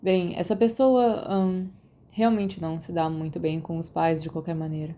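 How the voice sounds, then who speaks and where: A quiet schoolboy from a fantasy storybook, acted by a woman. He is awkward and evasive, with a hesitant, subdued delivery. The tone is dark and mellow. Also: on the low side, steady tone, normal speed, pitched below the performer's own voice, close to an everyday voice.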